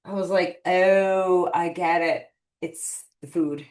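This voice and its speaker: Roomy, low-voiced woman in her fifties. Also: slow pace. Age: 50s. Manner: animated storyteller, many restarts and acted quotes. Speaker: podcast guest, coach. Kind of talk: casual interview chat. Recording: roomy echo.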